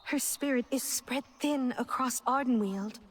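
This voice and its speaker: A woman's voice: high-pitched, a little slow, slight background noise. A woman voicing a small, mischievous yet earnest female faerie in a fantasy game, with a lilting theatrical delivery. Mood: grave, earnest.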